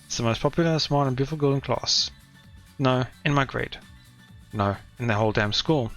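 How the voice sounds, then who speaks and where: Man reading teenage schoolboy narrator, wry, self-deprecating, dry delivery, average pitch, faint music behind. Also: normal speed, fairly bright tone, close to an everyday voice. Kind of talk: reading visual novel text aloud.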